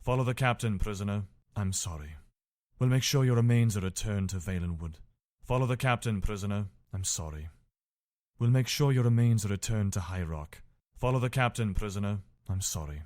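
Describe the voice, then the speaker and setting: A man's voice: on the low side, darkish tone, no room sound, studio quality, natural voice. A man playing a dutiful, weary but decent imperial soldier in a fantasy video game, speaking earnestly in short, commanding phrases. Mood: solemn, regretful. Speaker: voice actor for a game mod.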